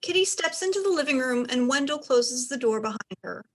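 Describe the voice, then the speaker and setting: A woman's voice: high voice, animated, fairly quick. A woman reading stage directions as scripted narration for a play reading.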